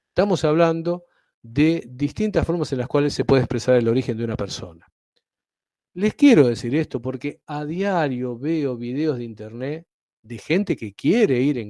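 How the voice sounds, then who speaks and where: A man's voice: medium pitch, warm tone, no room sound, studio quality. A man with an Argentine accent giving a Bible teaching, explaining step by step and often checking in with listeners. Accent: Argentine Spanish. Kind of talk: teaching sermon.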